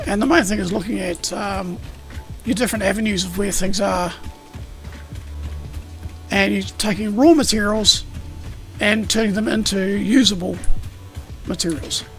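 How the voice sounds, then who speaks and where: Male streamer with a high, slightly croaky voice, talking through a game over clear background music. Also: measured pace, pauses often. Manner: rambling, self-correcting, explanatory. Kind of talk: casual gameplay explanation.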